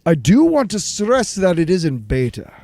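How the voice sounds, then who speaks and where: An actor playing an eager, deferential research chief in an audio drama, explaining things precisely and proudly. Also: male voice, high voice, wildly swooping pitch, moderate pace, darkish tone, no echo, exaggerated voice. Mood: explanatory, cautious.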